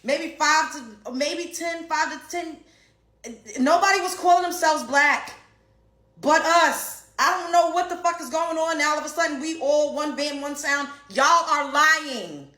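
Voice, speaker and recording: A woman's voice: sits high, unhurried, sharp tone. A woman, ranting bluntly and profanely as an online commentator and comedian. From Brooklyn, New York. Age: 30s. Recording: roomy echo.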